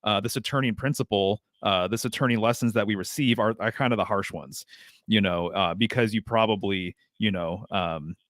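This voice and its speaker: A man's voice: lowish voice, normal speed, fairly bright tone, dry recording, studio quality. A man who reads tarot, a podcast guest explaining his ideas in a reflective, casual way with filler uhs. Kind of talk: casual conversational podcast chat.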